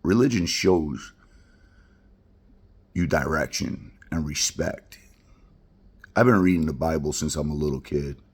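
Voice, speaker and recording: A middle-aged man with an extremely low, husky voice. He speaks in a blunt, rambling confessional monologue, heartfelt and plain-spoken. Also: a little slow, pauses often. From Queens, New York. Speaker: vlogger.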